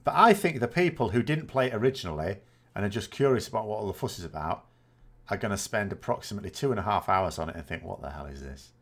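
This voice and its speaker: A man's voice: fairly low pitch, lively intonation, quick pace, fairly bright tone. A man, chatting casually and rambling as a gaming host answering viewer questions, often correcting himself with dry self-mockery. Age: 40s.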